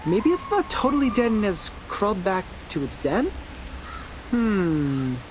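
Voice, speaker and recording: A woman voicing a quirky, analytical young investigator in a video game, theatrical and excitable. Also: mid-range voice, measured pace, noisy background. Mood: curious, excitable.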